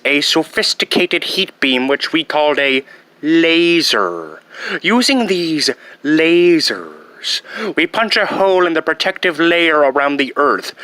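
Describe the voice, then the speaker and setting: A comic movie supervillain, pompous and petty, with a bright, forceful voice set fairly high. He over-enunciates and savors dramatic pauses, and the recording is close and dry. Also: no room sound, exaggerated voice. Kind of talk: comic film villain impression.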